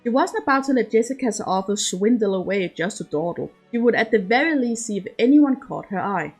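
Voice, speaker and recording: A woman's voice: medium pitch, expressive, brisk, a little mellow, breathy edge, soft background music. A woman reading a scripted romantic story aloud as an amateur narrator. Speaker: amateur fan fiction reader.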